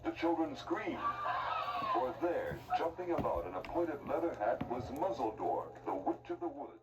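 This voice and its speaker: A man's voice: fairly high pitch, sing-song, moderate pace, recorded from a distance, low-quality recording. A man narrating a scripted children's tale in a theatrical storytelling style.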